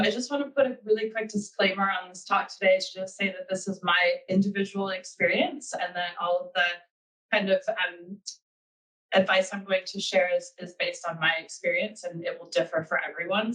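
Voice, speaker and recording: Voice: medium pitch, steady tone, moderate pace, fairly bright tone. Earnest American speaker, distant mic. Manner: earnest, thinking aloud with frequent ums. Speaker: guest speaker. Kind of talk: webinar talk.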